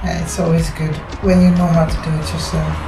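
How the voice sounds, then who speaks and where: A woman's voice: low-pitched, level tone, fast talker. A woman in her thirties with a Dutch accent, chatting casually as the host of a vlog. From Limburg, Netherlands. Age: 30s. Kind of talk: casual chat. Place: living room of a home salon, indoors. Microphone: camera a few metres away. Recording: roomy sound with background music. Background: music.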